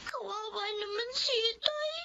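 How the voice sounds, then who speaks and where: Very high, meek young daughter. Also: female voice, measured pace, vocal fry, bright, forceful tone, heavily acted. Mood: worried. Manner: polite, deferential. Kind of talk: Filipino radio drama acting. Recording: dull lo-fi audio, faint noise.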